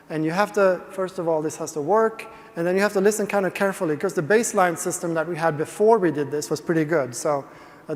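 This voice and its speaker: Man presenting in a hall. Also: fairly high pitch. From Sweden. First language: Swedish. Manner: explanatory, halting with many restarts. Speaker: conference presenter, speech engineer. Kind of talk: conference talk. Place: large conference hall. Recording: long reverb of a big hall.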